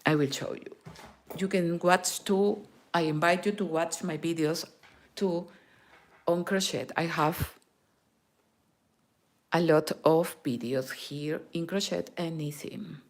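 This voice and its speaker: Slow-talking Peruvian woman teaching knitting. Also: low voice. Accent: Peruvian Spanish. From Peru. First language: Spanish. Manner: slow, patient, counts stitches aloud; mixes in Spanish words. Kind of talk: knitting tutorial.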